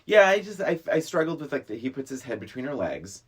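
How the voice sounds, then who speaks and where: Middle-aged podcast host with husky, lively delivery. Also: medium pitch, a little fast. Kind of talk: casual film discussion.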